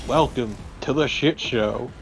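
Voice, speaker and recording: Informal man co-commentating, mid-pitched voice. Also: measured pace, vocal fry, background noise. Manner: informal, sweary. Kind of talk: casual gaming chat.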